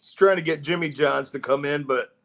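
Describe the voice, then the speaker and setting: A man's voice: on the high side, a little fast, a bit bright. A man co-hosting a podcast from a hotel room, trading wisecracks in casual banter. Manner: wisecracking, teasing. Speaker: podcast co-host.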